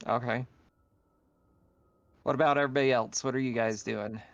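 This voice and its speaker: Gravelly man running a tabletop game. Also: mid-range voice, fairly even intonation, normal speed, no room sound. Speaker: game master. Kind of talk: casual narrating of an online tabletop roleplaying game. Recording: dull lo-fi online voice chat.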